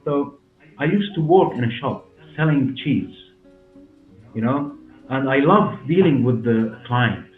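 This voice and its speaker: A man in his forties with a Syrian Arabic accent, answering interview questions in halting, repetitive non-native English. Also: mid-range voice, animated. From Damascus, Syria. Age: 40s. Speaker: hair clinic director and interview guest. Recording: roomy, muffled, narrow sound with music behind.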